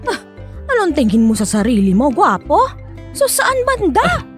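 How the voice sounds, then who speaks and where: Feisty exasperated filipino woman, radio drama, high swoopy scolding voice, faint music behind. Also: wildly swooping pitch, normal speed. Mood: annoyed, scolding. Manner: scolding, sarcastic, huffy. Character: feisty exasperated woman fending off an elderly suitor.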